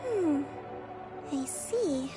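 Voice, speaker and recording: A woman playing a gentle, thoughtful young military strategist in a video game, speaking in a measured, polite way. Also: high-pitched, lively intonation, over loud music, exaggerated voice. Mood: pensive. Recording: noisy game ambience behind voice.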